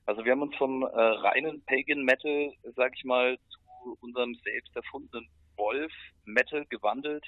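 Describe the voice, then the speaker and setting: A man's voice: mid-range voice, moderate pace, no echo. A man chatting casually as a musician guest in an interview. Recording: tinny, phone-like line.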